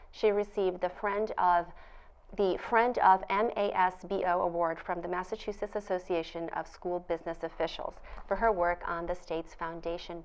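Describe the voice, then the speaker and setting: A woman's voice: lowish voice, darkish tone, rough recording. A woman hosting an interview show in a studio, speaking in an effusive, admiring way. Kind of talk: formal scripted introduction, then conversational interviewing.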